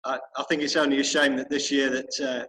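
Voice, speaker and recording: Bright-voiced North Yorkshire man. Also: medium pitch, little pitch movement, a little fast, long reverb tail, a little hiss or hum, low-quality recording. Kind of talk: joking video call chat. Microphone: video call.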